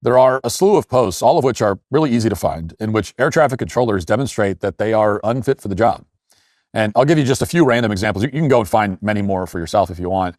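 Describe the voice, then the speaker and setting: A man hosting an opinion commentary show, speaking in an emphatic, critical way with deliberate phrasing and asides. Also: on the low side, lively intonation, a little mellow, no echo, studio quality.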